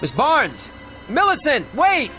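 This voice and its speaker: Earnest, expressive male traveller. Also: very high-pitched, slow, soft background music, exaggerated voice. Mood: urgent. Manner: earnest, hesitant, concerned. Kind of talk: radio drama acting.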